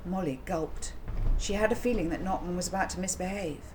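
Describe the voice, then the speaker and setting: A woman reading a children's story aloud as its author, in an expressive, deliberate, theatrical narrating style. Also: lowish voice, noisy background.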